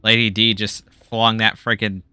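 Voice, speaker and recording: A man's voice: on the low side, flat delivery, measured pace, bright, forceful tone, clean tone, no room sound. A man doing casual let's-play commentary, chatty and laughing, muttering to himself when he gets stuck.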